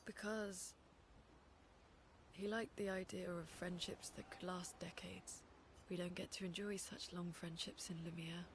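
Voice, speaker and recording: A woman's voice: fairly low pitch, little pitch movement, measured pace, a little hiss or hum. An actress playing a serious, wary woman on an expedition in a video game cutscene, direct and probing. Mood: reflective. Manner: direct, pointed questioning. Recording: loud music behind the voice.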